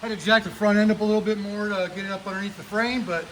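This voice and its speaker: A man working in a metal shop, explaining each repair step aloud in a casual, rambling way with lots of fillers and speaking up loudly. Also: sits high, level tone, rapid-fire, slight background noise, low-quality recording. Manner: speaks up loudly over the rain. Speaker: mechanic and channel host. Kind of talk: casual garage how-to narration. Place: metal shop building during rain. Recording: loud rain drumming on a metal roof.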